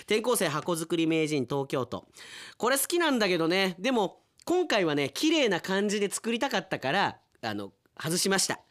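Animated man hosting a radio segment. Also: sits high, normal speed. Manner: animated, chatty, wry asides and chuckles. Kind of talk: casual radio talk, reading and commenting on listener submissions.

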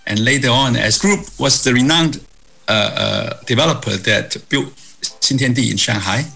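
An older man from Hong Kong with a bright but husky, gravelly voice. He talks in a measured, halting way, with fillers and restarts. Faint music plays softly behind him. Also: medium pitch, poor audio. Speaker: guest, property executive. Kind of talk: conversational interview answers.

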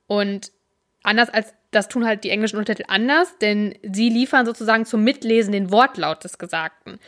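A woman's voice: mid-range voice, fairly quick, fairly bright tone. Young woman, casual co-host, long explanations with frequent fillers. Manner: explains at length, often hesitates with fillers. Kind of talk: casual chat about subtitles and series.

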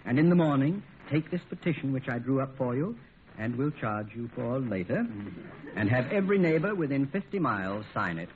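An actor playing a sensible, put-upon lawyer and family friend, dryly weary and cautioning, in a 1950s radio comedy. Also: male voice, medium pitch, very animated, fairly quick, close to an everyday voice. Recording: tinny old radio recording, faint noise.

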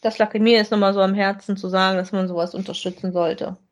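A woman's voice: mid-range voice, fairly even intonation, fast talker. A woman chatting casually as co-host of a podcast that reviews audio dramas.

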